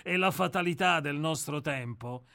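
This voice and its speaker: A man's voice: fairly high pitch, moderate pace, creaky, sharp tone, no echo. An actor reading the part of a famous male novelist who claims to be a murderer, speaking in a lecturing, sardonic, self-assured way in a radio drama reading. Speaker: character in a radio play reading.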